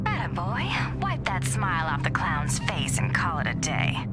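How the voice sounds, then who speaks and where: A flirtatious cat burglar for a video game. Her delivery is lively, sly and sultry, full of teasing sarcasm, set over loud music. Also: on the low side, measured pace. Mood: wry, teasing.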